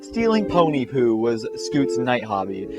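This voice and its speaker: A man's voice: medium pitch, big pitch swings, a little slow. Mock-dramatic man telling a comic story over music. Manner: mock-dramatic, casual asides. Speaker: narrator. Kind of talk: comic fan fiction storytelling.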